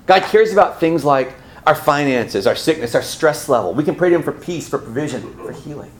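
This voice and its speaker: Campus preacher, male, animated and playful delivery, faint ambience behind. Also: a little high, brisk, slight background noise. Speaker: campus ministry speaker. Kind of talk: sermon to students.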